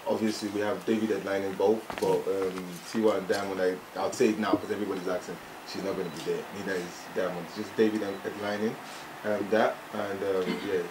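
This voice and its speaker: Guy with lowish voice doing announcements, echoey press room. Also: flat delivery, a little fast. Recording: echoey room with clear background noise.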